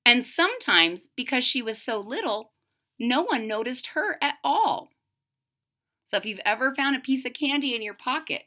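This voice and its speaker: A woman's voice: sits high, pushed and bright. A woman reading a children's picture book aloud expressively, with explanatory asides to her young listeners. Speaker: storybook reader.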